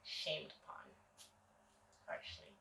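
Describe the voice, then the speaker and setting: A young American woman talking as a guest, relaxed and halting with many fillers. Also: reverberant, distant mic, slight background noise, rough recording. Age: young adult. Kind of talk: casual interview.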